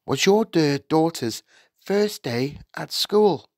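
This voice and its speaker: Male narrator as young woman schoolteacher, storybook character, polite, earnest, firm, high for a man, measured pace. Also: expressive, a little mellow, no echo, clean studio sound. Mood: composed, earnest.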